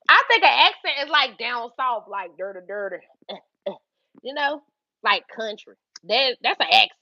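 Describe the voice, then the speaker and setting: A young woman with a Philadelphia accent telling a story on her livestream, animated and swearing freely between laughs. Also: high voice, no echo, lo-fi sound. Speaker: YouTube livestream host. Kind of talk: casual livestream storytelling to chat.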